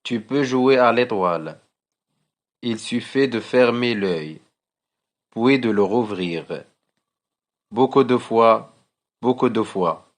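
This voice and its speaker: A man recites poetry as a teacher would for pupils. His delivery is extremely slow and deliberate, each short phrase measured out. The voice sits at a middling pitch for a man.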